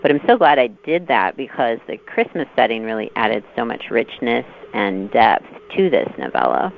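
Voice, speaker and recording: A woman author answering interview questions in a measured, earnest, articulate way. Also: rumbling low, big pitch swings. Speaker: novelist guest. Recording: phone-like line with clear noise.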